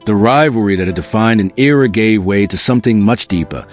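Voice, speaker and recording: A man's voice: lowish voice, fairly quick, faint music behind. A man reading scripted documentary narration in an earnest, dramatic storytelling manner.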